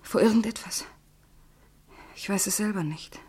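A woman's voice: mid-range voice, normal speed, a little mellow, breathy edge. An actress playing a troubled, fearful woman who speaks in a subdued, confiding way in a German radio play. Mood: anxious, subdued.

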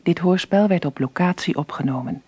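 A woman's voice: on the low side, a little fast, mellow, slightly rough, no echo, lo-fi sound. A woman announcer reading scripted closing credits for a radio drama.

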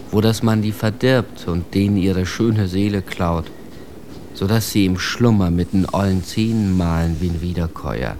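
An actor playing a streetwise, scornful man who declaims an earthy, insistent monologue in rough slang for a radio drama. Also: low voice, a little mellow, a little hiss or hum.

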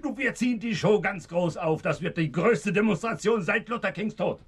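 An actor playing an eager, cynical militant comrade in a radio drama. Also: male voice, high-pitched, moderate pace, husky. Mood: gleeful scheming. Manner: excited, cynical. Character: eager male militant gang comrade, scheming.

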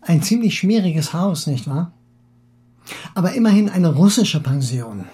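An excitable, garrulous Russian émigré husband, acted by a man for an audiobook. His mellow, soft-edged voice swings widely in pitch. He philosophizes eagerly and sometimes stalls as he hunts for a word. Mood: eager, chatty. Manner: garrulous, halting when searching for words.

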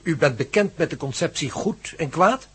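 A man playing a curious professor full of wonder in a radio play, with a husky voice. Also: on the high side, animated, normal speed. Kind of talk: Dutch radio play acting.

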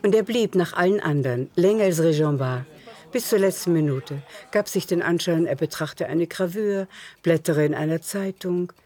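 A woman's voice: on the low side, moderate pace, mellow. A woman narrating a literary radio play in a scripted, storytelling style.

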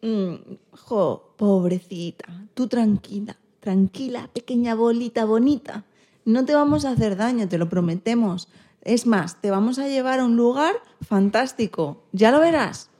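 A woman acts a kind female animal rescuer in a children's radio play. The voice is fairly high, gentle and cooing, soothing as if to a small pet. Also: lively intonation, unhurried. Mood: tender. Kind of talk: amateur radio-play acting of a children's story.

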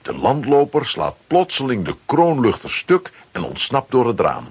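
Very husky, animated male storyteller. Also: mid-range voice, normal speed. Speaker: narrator. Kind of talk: dramatic scripted storytelling for children.

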